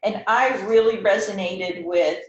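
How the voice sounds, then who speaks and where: Slow, earnest woman in an echoey room. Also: mid-range voice, lo-fi sound. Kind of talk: reflective conversation, quoting from a reading. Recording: echoey, roomy sound.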